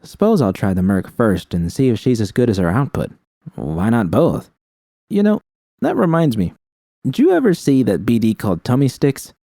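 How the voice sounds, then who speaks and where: A man's voice: fairly low pitch, dark tone, dry recording, clean studio sound. A man voicing a crude, argumentative, foul-mouthed thug in an audiobook, brash and jeering. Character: crude, argumentative male thugs bickering and bragging. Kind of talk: audiobook character dialogue.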